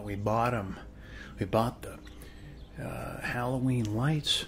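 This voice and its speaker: A soft-spoken man doing an ASMR roleplay as a friendly neighbor, chatting in a folksy, reassuring, rambling way with hesitant pauses and occasional drops into a whisper. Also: medium pitch, a little hiss or hum. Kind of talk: ASMR roleplay, one-sided casual neighborly chat.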